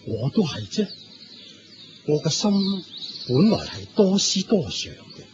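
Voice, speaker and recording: An actor with a Hong Kong Cantonese accent playing an earnest, curious wayfarer in a radio drama, with a wondering, deferential manner. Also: male voice, sits high, sing-song, slow pace, noisy background, exaggerated voice. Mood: moved, reverent. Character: reverent, curious male wayfarer, earnest and admiring. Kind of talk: Buddhist radio drama acting. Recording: muffled lo-fi radio recording with music.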